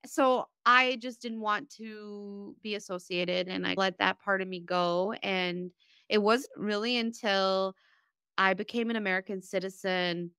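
Earnest Bosnian-born woman, crisp dry voice. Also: mid-range voice, fairly even intonation, a little slow, sharp tone, no room sound. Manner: earnest, rambling, emotional storytelling. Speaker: podcast guest. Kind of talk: candid personal conversation.

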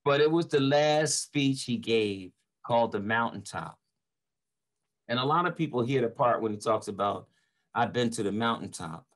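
A man's voice: mid-range voice, expressive, moderate pace. An older American man from Philadelphia casually introducing material as a motivational speaker. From Philadelphia, United States. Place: small home office, indoors. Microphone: webcam video call.

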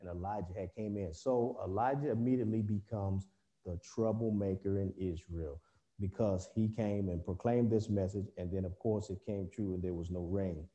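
A man preaching a Bible lesson over a video call, halting often with fillers and restarts. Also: sits low, warm tone, highs cut off.

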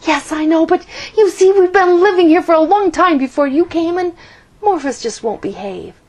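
A woman's voice: very high-pitched, lively intonation, normal speed, voice pushed up. A woman reading a storybook, voicing a timid, polite boy ghost who talks in an eager, pleading way.

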